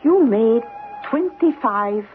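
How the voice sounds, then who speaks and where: High-voiced, nervous female accomplice. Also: expressive, takes it slowly. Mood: uneasy. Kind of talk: old-time radio drama acting. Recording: tinny old radio recording, faint music.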